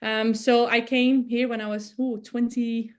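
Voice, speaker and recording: A woman's voice: fairly high pitch, flat delivery, measured pace. A woman in her thirties from the Netherlands, a native Dutch speaker, telling her story casually as an interview guest. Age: 30s. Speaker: interview guest, business founder.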